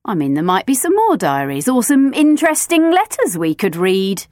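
A woman's voice: medium pitch, lively intonation, takes it slowly, darkish tone, dry recording, clean studio sound. A woman voicing a sarcastic, firm adult woman who is a family friend. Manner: sarcastic, stern.

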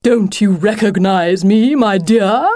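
A woman's voice: medium pitch, takes it slowly, darkish tone, no room sound, voice pushed up, lightly acted. A woman voicing a pompous, insistent male storybook wolf with a grandiose, wheedling, theatrical manner. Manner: grandiose, emphatic, wheedling old-fashioned phrasing.

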